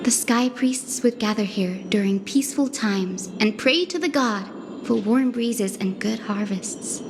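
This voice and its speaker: A woman playing a gentle, composed young female guide in a fantasy game, with measured, explanatory speech. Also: fairly high pitch, over music. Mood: calm, thoughtful. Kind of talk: scripted game dialogue.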